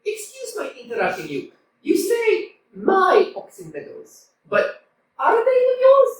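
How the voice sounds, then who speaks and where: A woman's voice: extremely high pitch, wildly swooping pitch, measured pace, exaggerated voice. A woman playing a stubborn young landowner lady, echoey. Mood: indignant. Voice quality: emphatic, argumentative, sing-song outbursts. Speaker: community theater actor. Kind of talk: staged reading of a stage comedy. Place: small community theater. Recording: echoey room, from far off, faint noise.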